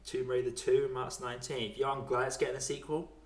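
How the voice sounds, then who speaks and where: A British man hosts a relaxed film podcast in an informal, chatty way. His voice sits at an average male pitch. The room adds a clear echo around his words. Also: a little fast. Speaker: podcast host. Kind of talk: casual film podcast chat. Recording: roomy echo.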